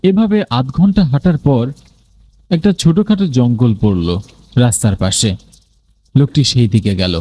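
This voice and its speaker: A man's voice: medium pitch, normal speed, long pauses, dark tone. A man narrating a scripted first-person ghost story in a dramatic, suspenseful manner.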